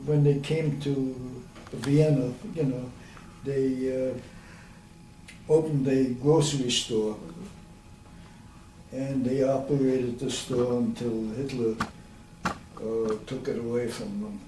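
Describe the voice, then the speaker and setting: A man, recalling his life in an oral history interview, slow and hesitant with many pauses. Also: mid-range voice, fairly even intonation, dark tone. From Austria. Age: 90s. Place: room at a community center. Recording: echoey room, distant mic, faint hiss.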